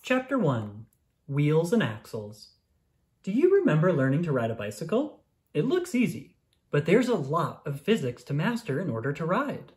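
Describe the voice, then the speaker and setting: Expressive children's book narrator. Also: medium pitch, normal speed. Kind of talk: scripted read-aloud of a children's science book.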